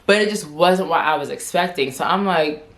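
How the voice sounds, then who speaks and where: Low-voiced woman in her twenties, rambling. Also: moderate pace. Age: 20s. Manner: chatty and rambling, heavy use of "like"; stutters and repeats words. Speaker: vlogger. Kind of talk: casual storytelling to camera.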